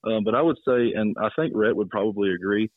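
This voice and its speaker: A man's voice: fairly low pitch, quick pace, no echo. Man on a muffled phone line. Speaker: high school football coach, interview guest. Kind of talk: casual phone interview. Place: on a phone call.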